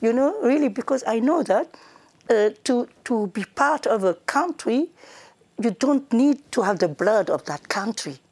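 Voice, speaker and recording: A woman's voice: a little high, lively intonation, unhurried, darkish tone. Woman speaking hesitant non-native English. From Senegal. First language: Wolof or other languages of Senegal. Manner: hesitant, many fillers and restarts, laughs readily. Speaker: Member of the European Parliament, guest.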